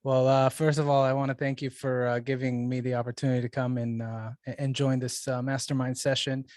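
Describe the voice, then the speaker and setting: A man's voice: medium pitch, little pitch movement, fairly quick, darkish tone, no echo, studio quality. A middle-aged man speaking casually and off the cuff as a panel guest on a video call, with conversational, hesitant phrasing. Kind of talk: casual, unscripted self-introduction.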